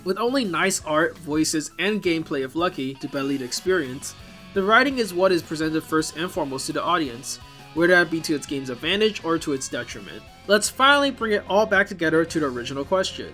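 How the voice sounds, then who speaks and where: A man with a fairly high voice delivers scripted video essay commentary over music. His pitch moves around a great deal as he explains things, and his phrasing is informal and opinionated. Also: lively intonation, brisk, bright, forceful tone. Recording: music behind the voice.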